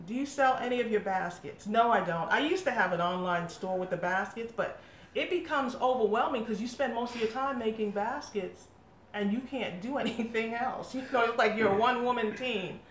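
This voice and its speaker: A woman's voice: on the high side, normal speed. A woman from England who hosts a craft channel, chatting casually in a live question session, laughing often and talking through fillers. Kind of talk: casual live-stream chat. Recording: echoey room, faint background noise.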